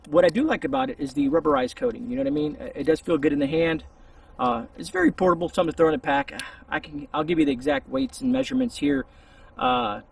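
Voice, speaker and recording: A man with a gravelly, husky voice giving a casual, rambling, hesitant product review outdoors, a little distance from the camera. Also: high-pitched, slight background noise. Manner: informal, hesitant, meandering. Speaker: YouTube reviewer. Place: outdoors among trees. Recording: voice distant from the mic.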